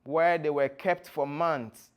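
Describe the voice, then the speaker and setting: Smooth, unhurried Ghanaian man presenting. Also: on the high side, steady tone, dull audio. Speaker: guest speaker, former tour guide. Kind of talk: presentation to an audience with slides.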